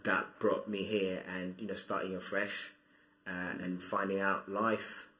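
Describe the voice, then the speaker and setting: A young man from Zimbabwe talks through his life story in a low voice with a bright, forceful edge. He is reflective and hesitant, often restarting his sentences. The room around him sounds echoey. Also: flat delivery, unhurried. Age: 20s. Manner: thoughtful, hesitant with many restarts. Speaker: guest.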